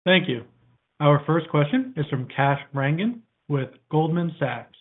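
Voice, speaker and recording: A man's voice: fairly high pitch, sing-song, a little slow. A man working as a conference call operator, giving scripted announcements in a formal, procedural manner. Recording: narrowband phone line.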